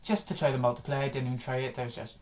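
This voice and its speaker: Rambling, slightly hoarse man. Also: mid-range voice, steady tone, racing, a little mellow. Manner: rambling, offhand, frequent restarts and small chuckles. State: slightly hoarse from a day of recording. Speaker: gaming vlogger. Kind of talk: casual rambling video-game chat.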